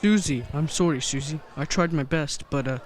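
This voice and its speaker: A man playing a kindly, gentle old Santa Claus figure in a radio play. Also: on the high side, moderate pace, lightly acted. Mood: apologetic.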